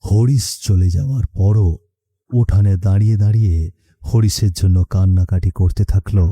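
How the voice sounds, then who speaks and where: Guy narrating a dramatic story, really dark husky voice, dry close sound. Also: fairly low pitch, steady tone, normal speed, no echo.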